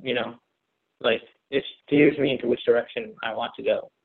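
A young male student answers in a mid-pitched voice, hedging often with "you know" and "like." His audio comes through thin and tinny, like a phone line. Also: steady tone, moderate pace, creaky, slightly rough. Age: young adult.

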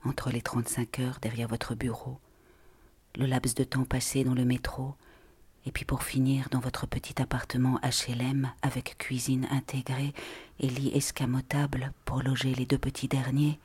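A man reading a literary monologue aloud in a breathy, often half-whispered voice. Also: medium pitch, completely flat delivery, normal speed, darkish tone.

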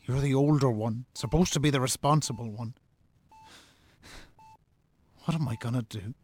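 An actor playing a farmer's worried, blunt younger brother with an Irish accent in a naturalistic radio drama. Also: male voice, medium pitch, quick pace, darkish tone, natural voice. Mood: worried, shaken.

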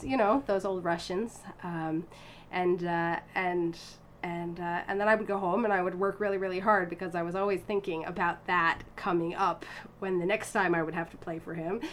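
A woman's voice: on the low side, slight background noise. A woman in her thirties from the United States, telling her story in a casual interview with many fillers, restarts and laughs. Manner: animated, frequent fillers and restarts, laughs often. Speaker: interview guest, violinist.

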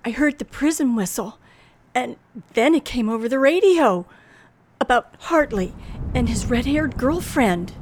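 Radio thriller heroine: young, high-pitched, tense, dramatic, narrating her fear on a hissy vintage recording. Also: expressive, unhurried, darkish tone. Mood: flustered. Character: nervous red-haired young woman driving alone at night. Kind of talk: old-time radio thriller acting, first-person narration.

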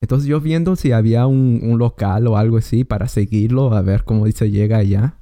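A man, interviewing in casual conversation and often pausing with fillers. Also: medium pitch, warm tone. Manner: hesitant, many fillers and restarts.

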